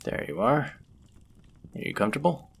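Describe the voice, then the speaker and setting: A man's voice: moderate pace, close to an everyday voice. A man playing a flirtatious, courteous medieval fantasy innkeeper in a roleplay audio drama, with formal, ornate, teasing phrasing. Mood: gracious, attentive. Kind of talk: scripted roleplay audio drama, addressing the listener.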